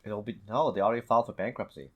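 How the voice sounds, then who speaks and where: Low-voiced man in casual chat. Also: rapid-fire, lo-fi sound. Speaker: podcast co-host.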